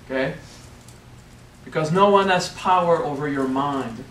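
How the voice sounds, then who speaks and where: A man's voice: on the high side, measured pace, fairly bright tone, recorded from a distance, a little hiss or hum. French-accented man teaching in an echoey room. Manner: playful, keeps checking in with "okay?". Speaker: spiritual teacher. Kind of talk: workshop talk. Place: hotel meeting room. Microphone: far camera.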